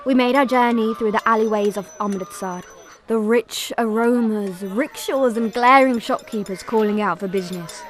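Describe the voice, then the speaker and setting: A woman's voice: on the high side, normal speed, close to an everyday voice. A woman playing an earnest young pilgrim who narrates a family tragedy in a scripted audio drama.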